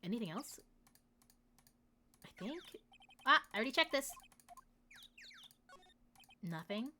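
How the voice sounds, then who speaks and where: A young woman streaming a game, chatting casually with viewers and reading lines aloud. She sounds hoarse with a stuffy nose. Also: a little high, very animated, slow, slight background noise. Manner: chatty and warm with chat, many likes.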